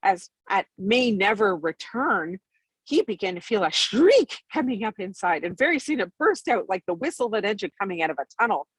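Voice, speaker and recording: A woman's voice: medium pitch, sing-song, fast, hardly any pauses, breathy edge, no echo, poor audio. A woman reading a storybook aloud as bedtime narration, expressively, with an occasional stumble over the words. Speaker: storybook reader.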